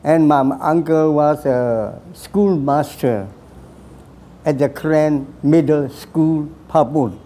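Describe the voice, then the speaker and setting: A man in his nineties from Burma, slowly telling his life story with hesitant pauses and repeated phrases. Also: fairly high pitch, warm tone. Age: 90s. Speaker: veteran recounting his life. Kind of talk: slow personal storytelling.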